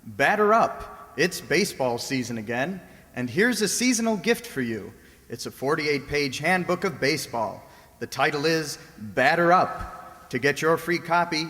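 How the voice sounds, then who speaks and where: A man's voice: a little high, fairly bright tone. A man announcing in scripted old-time radio style, delivering a sponsor message. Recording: echoey hall, long reverb.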